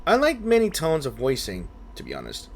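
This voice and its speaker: Animated man giving casual gameplay commentary. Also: fairly high pitch, a little fast, slight background noise. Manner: skeptical, rambling. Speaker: gaming video creator.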